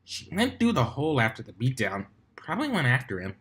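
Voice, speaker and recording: A man voicing a brash female pegasus stunt flyer, gossiping in excitable slang for an amateur fan fiction reading. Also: medium pitch, brisk. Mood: amused. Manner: excitable, slangy storytelling with many likes.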